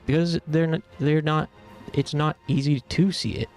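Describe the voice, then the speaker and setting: A man's voice: a little high, steady tone, moderate pace, darkish tone, soft background music. A man in his thirties from the United States, chatting casually and earnestly with stream viewers, rambling with lots of fillers. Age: 30s.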